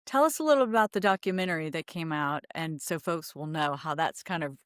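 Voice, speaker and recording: A woman's voice: sits low, fairly quick, dry recording, studio quality. A middle-aged woman hosting a podcast in a conversational interview style. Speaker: podcast host.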